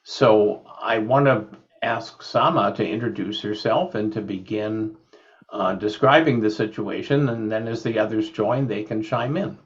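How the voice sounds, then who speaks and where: A man hosting a video call discussion, speaking slowly and deliberately with frequent fillers. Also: on the low side. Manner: slow, deliberate, with many fillers. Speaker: podcast host. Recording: dull lo-fi call audio.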